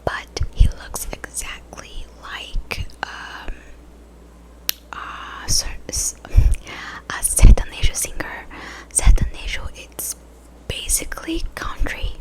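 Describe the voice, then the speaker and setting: A woman whispering softly and breathily in a casual, rambling ASMR chat. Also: takes it slowly, low-quality recording. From Brazil. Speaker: ASMR video creator.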